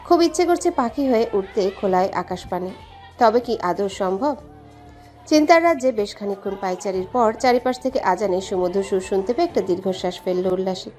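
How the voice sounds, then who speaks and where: A woman's voice: mid-range voice, a little mellow. A woman reading a scripted dramatic story as its narrator.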